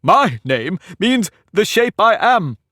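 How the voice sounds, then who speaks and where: A man's voice: high voice, sing-song, slow pace, fairly bright tone, dry recording, studio quality, voice pushed up, full character voice. A man voicing a pompous, touchy talking egg in a storybook reading, boastful and argumentative. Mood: haughty. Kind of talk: audiobook character reading.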